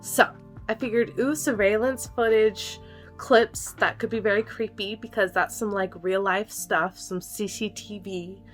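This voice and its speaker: A young woman hosting a ghost reaction vlog, chatty and excitable, a bit nasal from a cold. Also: mid-range voice, unhurried, soft background music. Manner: bubbly, excitable, frequent "like" and gasps. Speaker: ghost video reaction host.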